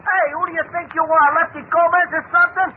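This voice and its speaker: A brash young bully in a 1940s radio play, high-pitched and quick. The delivery is taunting, slangy and belligerent. The old recording is thin and tinny. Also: little pitch movement, a little hiss or hum. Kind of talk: 1940s radio drama acting.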